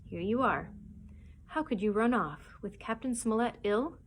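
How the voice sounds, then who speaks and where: A woman's voice: fairly high pitch, animated, unhurried, slight background noise. A woman voicing a grave, kindly male doctor in a storybook, polite, earnest and direct. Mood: sad.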